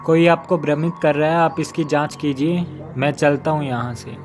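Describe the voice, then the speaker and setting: A man's voice: fairly high pitch, steady tone, normal speed, natural voice. A man playing a commanding, theatrical figure in a dramatized children's horror story. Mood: solemn, commanding. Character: exorcist priest chanting mantras, and scheming gang boss. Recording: music behind the voice. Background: music.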